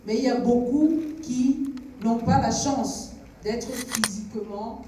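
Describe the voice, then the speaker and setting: A woman's voice: fairly high pitch, slow pace, dark tone, a little hiss or hum. A Cameroonian woman co-hosting a film club discussion, speaking to a young audience in an engaging, didactic way with questions to the listeners. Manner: engaging, asks questions, switches between French and English. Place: screening hall with audience. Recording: very echoey hall, distant from microphone.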